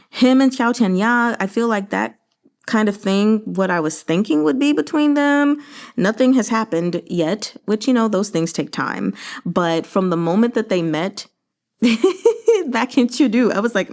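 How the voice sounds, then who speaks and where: A woman hosting a read-along, giving casual, conversational commentary on a novel with animated, emphatic asides. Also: mid-range voice. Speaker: host of a book read-along channel.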